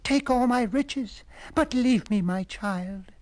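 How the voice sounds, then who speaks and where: A man voicing a frightened poor young woman who becomes queen, in a high, tearful falsetto. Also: big pitch swings, takes it slowly, exaggerated voice. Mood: desperate. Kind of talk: storybook reading.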